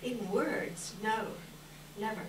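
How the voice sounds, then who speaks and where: Woman as dignified, grieving young betrothed for a stage reading; formal, measured, heard at a distance in echo. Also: on the high side. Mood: sorrowful, resolute. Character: sorrowful, dignified young fiancée, gently resolute. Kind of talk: readers theater stage reading. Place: indoor live event, distant microphone. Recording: distant, echoey hall with clear noise.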